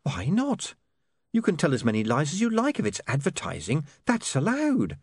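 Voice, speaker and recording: A practical young woman of a comic novel, put on by a male narrator. She is prim and sharp-tongued, snapping out her opinions in a raised, lively pitch. The recording is close and dry. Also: normal speed, breathy edge, no echo, exaggerated voice. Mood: snappy, practical. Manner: prissy, snappy.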